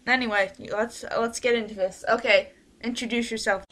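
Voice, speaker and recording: Bright-voiced bossy woman chatting online. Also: a little high, steady tone, normal speed. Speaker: host and leader of an amateur radio play group. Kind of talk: casual livestream chat. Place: online voice call. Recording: noisy livestream over voice call.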